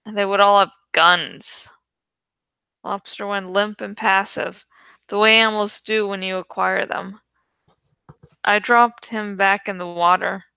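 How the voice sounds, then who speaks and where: A woman narrates adventure fiction with expressive suspense. Her voice is bright and smooth, a little gravelly at the edges. She takes her time with each line. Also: mid-range voice, measured pace, pauses often, dry recording. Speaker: audiobook reader. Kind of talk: dramatic scripted narration of a young adult novel.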